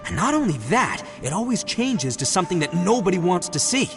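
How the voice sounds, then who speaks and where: A brash swaggering oni gang boss. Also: male voice, high voice, big pitch swings, fairly quick. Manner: loud, slangy and swaggering. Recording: game audio, reverb and music. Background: game music.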